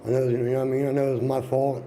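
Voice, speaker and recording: A man's voice: mid-range voice, flat delivery, fast, noisy background. A man being interviewed as an inmate, speaking casually in plain colloquial words.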